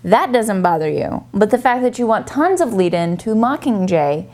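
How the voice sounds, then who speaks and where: A woman's voice: medium pitch, very animated, normal speed, a little mellow. A woman co-hosting a casual book chat in a blunt, teasing way. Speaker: co-host of a book discussion video.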